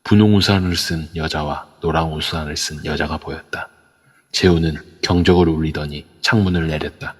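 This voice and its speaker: A man narrating an amateur audio drama in steady storytelling form. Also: extremely low pitch, moderate pace, slightly rough. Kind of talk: scripted narration. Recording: reverb-heavy online audio.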